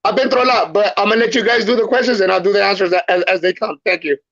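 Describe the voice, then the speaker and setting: A man's voice: sits high, steady tone, rapid-fire, creaky, a bit bright. A man from El Salvador, a podcast guest telling his life story casually, using street slang and repeating phrases. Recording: muffled lo-fi sound.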